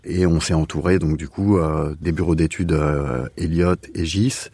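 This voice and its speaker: A man's voice: rumbling low, unhurried, dark tone, breathy edge, dry recording. A man answering interview questions, explaining conversationally and hesitantly, piecing his phrases together. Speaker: architect, interview guest.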